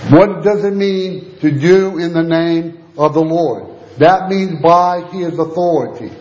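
Hoarse-edged man preaching in an echoey room. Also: on the high side, a little slow, dull audio. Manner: steady teaching delivery with scripture readings. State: losing his voice. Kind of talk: sermon.

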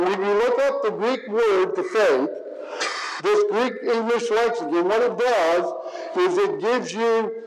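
A man preaching a sermon slowly and deliberately, stretching key words for emphasis. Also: high voice, poor audio.

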